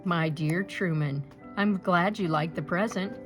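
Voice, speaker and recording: A woman's voice: fairly low pitch, a little slow, faint music behind, close to an everyday voice. A woman voicing a breezy, affectionate, clever aunt reading out her letter in a storybook.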